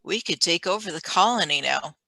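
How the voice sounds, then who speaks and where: A woman's voice: on the low side, expressive, fairly quick, creaky, bright, forceful tone, no echo, heavily acted, low-quality recording. A woman playing a scheming lab assistant, controlled and matter-of-fact, in a radio play reading. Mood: conspiratorial. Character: cool, scheming female lab assistant and conspirator.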